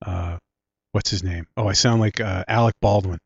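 A man in his late forties with a Philadelphia accent tells long, facetious stories in a lowish voice. He sounds congested from a sinus infection. The recording is dry and dull, with a lo-fi edge. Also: steady tone, moderate pace, gravelly, breathy edge, dry recording. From Philadelphia area, US. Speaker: guest, director and writer. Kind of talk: casual interview chat.

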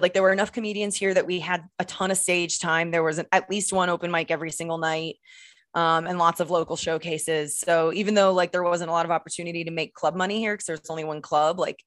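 A woman's voice: low-pitched, no room sound, clean studio sound. A woman from Texas, a guest comedian in casual podcast chat, talking chattily and laughing often.

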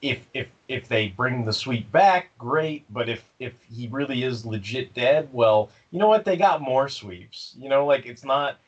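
A man with a husky, slightly raspy voice whose pitch swings widely as he talks. He co-hosts a fan podcast, stammering and restarting as he builds his points. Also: measured pace, hardly any pauses, lo-fi sound. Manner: stammering restarts, animated storytelling. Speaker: podcast co-host. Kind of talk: casual fan discussion.

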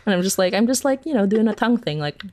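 A woman's voice: medium pitch, lively intonation, quick pace, darkish tone, slight background noise. A woman from the Philippines giving a casual interview as a guest, talking in a rambling, giggly way and often interrupting herself. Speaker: interview guest, horror audio drama creator.

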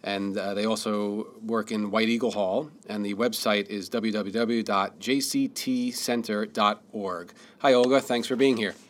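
A man's voice: lowish voice, fairly even intonation, a little slow, hardly any pauses. Man hosting a radio interview. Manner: reads a scripted intro, then casual questions. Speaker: host, interviewer.

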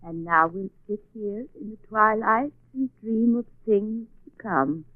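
An actress playing a coldly calculating murderous wife, formal and icily polite, in 1940s radio style. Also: female voice, mid-range voice, dry recording, lightly acted. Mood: dreamily menacing. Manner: formal, measured, icy politeness. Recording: old phone-like 1940s radio, boxy room.

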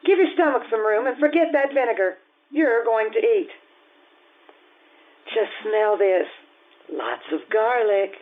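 An actress playing a blunt, warm-hearted Italian innkeeper in an old-time radio drama, earthy and frank in manner. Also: female voice, a little high, lively intonation, measured pace, pauses often, narrow, tinny audio. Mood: blunt, bossy.

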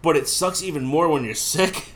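A man's voice: a little high, moderate pace, a bit bright. Coughing sick man, rambling casual chat. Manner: rambling, swears often. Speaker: podcast co-host.

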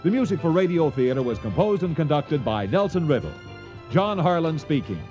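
A man's voice: a little high, sing-song, brisk, mellow, highs cut off, faint music behind. A man announcing formal scripted credits for a radio drama program. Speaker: staff announcer.

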